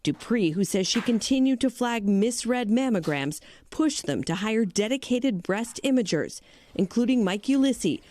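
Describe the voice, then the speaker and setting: A woman's voice: on the high side, animated, moderate pace, mellow, slight background noise. A woman with an American accent reading a scripted news report as narrator.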